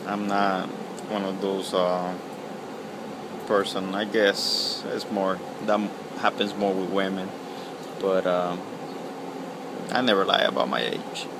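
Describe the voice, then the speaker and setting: A man in his thirties, from El Salvador, giving a halting, casual video reply outdoors beside a house, with lots of ums and uhs. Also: sits low, background noise. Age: 30s. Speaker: pipe-smoking video blogger. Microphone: webcam at close range.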